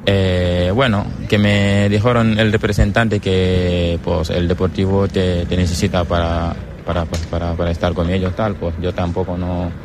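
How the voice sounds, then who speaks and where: Soft-spoken young man from Senegal, very low voice. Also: flat delivery, moderate pace, few pauses, noisy background. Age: young adult. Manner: hesitant, searching for words. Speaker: footballer guest. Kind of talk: casual interview.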